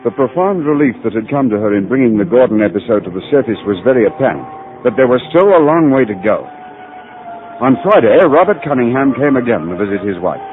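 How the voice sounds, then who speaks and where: A man's voice: mid-range voice, animated, fast, natural voice. An actor playing a probing, reassuring psychiatrist who narrates his case in an old radio drama. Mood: grave narration. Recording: narrow vintage radio broadcast with music.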